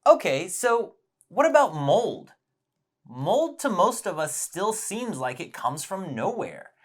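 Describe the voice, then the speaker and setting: A man's voice: sits high, big pitch swings, takes it slowly. A man presenting a science lesson as storytelling, explaining things simply and with emphasis.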